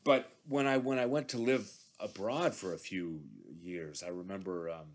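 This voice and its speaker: Male podcast host, lower voice, casual, animated storyteller. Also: normal speed. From Montreal area. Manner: animated storytelling, many fillers and restarts, laughs often. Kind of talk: casual conversational interview.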